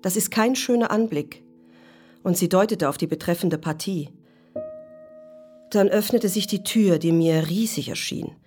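An actress playing a shaken, candid ex-wife recalling a hospital visit in a dramatic monologue. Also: female voice, lowish voice, measured pace, pauses often, natural voice. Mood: pensive, shaken. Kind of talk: letter read as dramatic monologue. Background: music.

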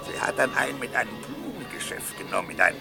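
A man's voice: mid-range voice, wildly swooping pitch, quick pace, gravelly. An actor playing a talkative, mocking male violet seller in a 1960s German radio play, with theatrical, sing-song hawker's cries. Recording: 1960s radio recording, music behind.

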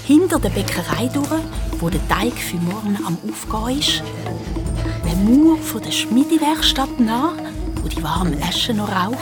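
A woman's voice: on the high side, very animated, a little slow. A woman with a Swiss German accent narrating a children's radio play in a suspenseful, storytelling manner. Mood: hushed suspense. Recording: music behind the voice.

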